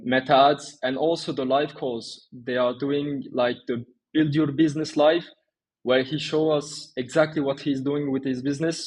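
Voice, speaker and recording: A man's voice: medium pitch, darkish tone, low-quality recording. A man, answering interview questions in a conversational way. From Turkey and France. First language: Turkish and French. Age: 20s. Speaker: interview guest, small agency owner.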